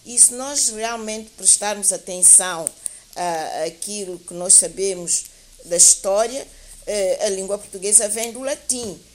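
Slow, deliberate academic woman over hiss. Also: medium pitch. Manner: deliberate, academic, hesitant with repeated self-corrections. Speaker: guest panelist, teacher. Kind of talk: explanatory panel discussion. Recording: clear background hiss.

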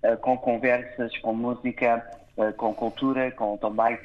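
A man from Canada, born in Toronto, answering interview questions hesitantly, with many filled pauses and restarts. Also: mid-range voice, flat delivery, narrow, tinny audio. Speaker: guest.

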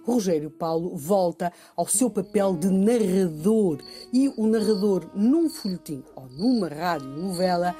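A woman's voice: fairly low pitch, expressive, a little slow, few pauses, mellow, soft background music. A woman with a European Portuguese accent presenting a radio documentary, explaining emphatically and repeating phrases for effect.

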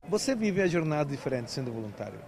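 A man's voice: fairly high pitch, very animated, fast, a little mellow, a little hiss or hum. A man of about thirty from Mexico, a native Spanish speaker with a Brazilian-style Portuguese accent, chatting as a volunteer in an interview with many fillers and restarts. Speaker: event volunteer. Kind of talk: casual interview answers.

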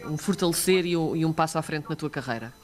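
A man's voice: on the high side, fast. A man, co-hosting a radio interview and asking questions with some hesitation and fillers. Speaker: radio co-host.

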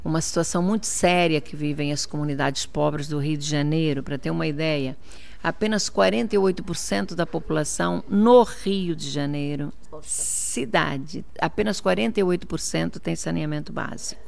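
A woman's voice: low-pitched, normal speed, vocal fry, darkish tone. An older woman from Portugal, answering an interview as a city councillor, insistent and repeating her points for emphasis.

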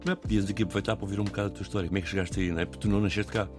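A man's voice: on the low side, steady tone, rapid-fire, over music. A male radio host running a casual interview, asking loose questions with fillers.

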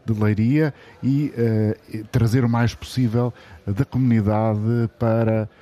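Deep-voiced Portuguese radio host, a man. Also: fairly even intonation, slow, mellow. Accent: European Portuguese. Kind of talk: live radio sports discussion.

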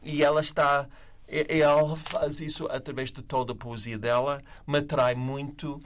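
A man who speaks with a non-native accent, reading verse slowly and measuredly, then talking casually. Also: fairly high pitch, flat delivery, slight background noise. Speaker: writer and panel guest.

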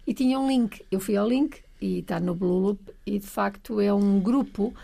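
A middle-aged woman with a European Portuguese accent speaks as a radio guest, her voice gravelly and mellow. She tells her story with warmth and laughs often. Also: medium pitch, normal speed. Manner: warm, laughing, lively storytelling. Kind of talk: relaxed radio interview.

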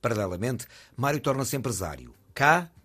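A man narrating a television profile in a measured, scripted delivery. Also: medium pitch, very animated, a bit bright.

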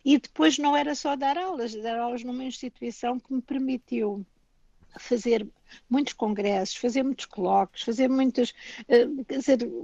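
A woman's voice: a little high, normal speed, dark tone, no echo. An older woman from Portugal with a European Portuguese accent, a guest speaking thoughtfully with frequent restarts and asides in a remote interview. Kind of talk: remote interview, reflective conversation. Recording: dull lo-fi remote line.

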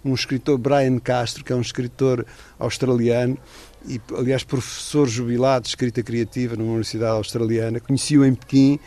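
A man's voice: medium pitch, little pitch movement, fairly quick, mellow. A middle-aged man, an author and former correspondent, talking in a radio interview in a thoughtful, digressive way with many restarts.

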